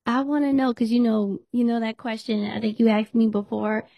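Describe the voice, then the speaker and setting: A woman hosting a podcast in a casual chat, losing her voice and hoarse, teasing and laughing often. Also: fairly high pitch, little pitch movement, moderate pace, dark tone, no echo. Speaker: podcast host.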